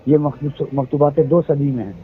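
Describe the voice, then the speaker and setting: A man's voice: fairly high pitch, fairly even intonation, normal speed, a little hiss or hum. A man, explaining himself at length on a phone call and citing religious sayings to defend his actions. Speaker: event organizer on a phone call. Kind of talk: heated phone conversation. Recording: tinny phone call recording.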